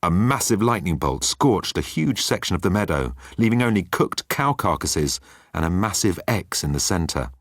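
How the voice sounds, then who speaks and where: A man narrates a comic story in a deep voice. The sound is close and dry, as in an audiobook, with a scripted storytelling delivery. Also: very animated, moderate pace, no room sound.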